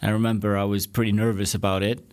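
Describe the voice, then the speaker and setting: A man co-hosts a podcast in a friendly, joking way, pausing often on hesitant fillers. His voice is mid-pitched and dry, with no room sound. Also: level tone, a little mellow, no echo. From northeast Iceland. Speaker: podcast co-host. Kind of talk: casual interview conversation.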